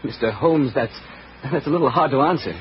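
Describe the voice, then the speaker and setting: An actor playing a polite, uneasy young private secretary in a 1940s radio drama. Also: male voice, medium pitch, natural voice. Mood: uneasy, earnest. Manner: formal, guarded, quick. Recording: muffled, noisy old radio recording.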